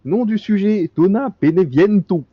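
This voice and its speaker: A male streamer with a fairly high voice reads in-game notes aloud, tripping over words and cutting in with wisecracks. The sound comes through a dull, muffled mic with a dry, close feel. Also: animated, unhurried, breathy edge, dry recording. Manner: stumbling reads with joking asides. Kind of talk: reading in-game documents aloud during a co-op stream.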